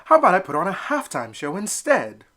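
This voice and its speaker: Brief: man voicing a cheeky storybook duckling boy, high and bouncy. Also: wildly swooping pitch, a little fast, pushed and bright, heavily acted. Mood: chirpy. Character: cheerful young boy duckling, artsy and sassy.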